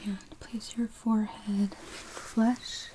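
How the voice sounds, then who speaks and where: A woman whispering softly as an eye doctor in an ASMR medical roleplay, giving gentle, patient instructions close to the microphone. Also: mid-range voice, flat delivery, slow pace. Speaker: optometrist in a roleplay.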